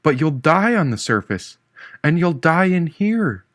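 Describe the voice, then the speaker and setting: Man acting an anxious, high-strung young worker. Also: big pitch swings, measured pace, fairly bright tone. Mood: fearful. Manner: urgent, questioning. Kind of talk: audiobook character acting.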